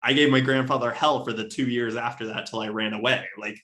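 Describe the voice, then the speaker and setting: A man's voice: medium pitch, steady tone, a little fast, pushed and bright. A man in a casual literary discussion, talking emphatically and often checking in with rhetorical questions.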